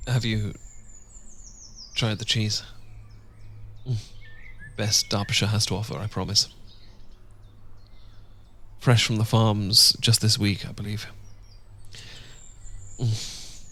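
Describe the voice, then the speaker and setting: A British man playing a tender, devoted Regency gentleman husband in an intimate ASMR roleplay, speaking gently and a little hesitantly in formal period phrasing. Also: on the low side, fairly even intonation, slow, pauses often, a little hiss or hum, full character voice. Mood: gentle, chatty. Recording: outdoor birdsong ambience behind voice. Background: birdsong and outdoor ambience.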